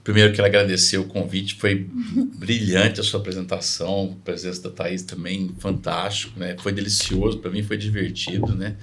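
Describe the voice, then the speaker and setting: A man's voice: sits low, little pitch movement, normal speed, fairly bright tone, a little hiss or hum. A man from Minas Gerais with a Mineiro accent, a physician guest chatting on a podcast, rambling and dropping frequent tag questions. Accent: Mineiro Brazilian Portuguese. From Minas Gerais, Brazil. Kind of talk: casual podcast conversation.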